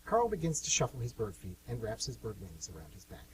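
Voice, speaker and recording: A man's voice: mid-range voice, wildly swooping pitch, a little fast, a little mellow, low-quality recording. A man hosting and narrating a scripted amateur radio play. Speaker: host and narrator. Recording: amateur radio with clear hum noise.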